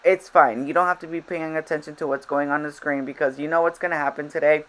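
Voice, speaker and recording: A woman's voice: sits low, steady tone, quick pace, poor audio. A woman whose first language is Spanish, chatting over gameplay in a casual voiceover. She rambles and interrupts herself, a little frazzled. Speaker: game vlogger.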